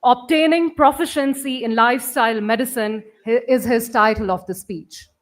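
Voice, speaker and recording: A woman's voice: a little high, moderate pace. A woman emceeing a conference, introducing speakers and guests in a polite, ceremonial manner. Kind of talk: formal stage announcing.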